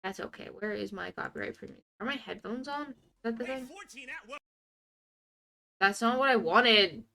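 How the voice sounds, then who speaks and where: Bright-voiced young woman, stuffed-up nose. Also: on the high side, normal speed, vocal fry. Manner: scattered, muttering asides to herself. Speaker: streamer. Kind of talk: casual live stream chat while playing a game.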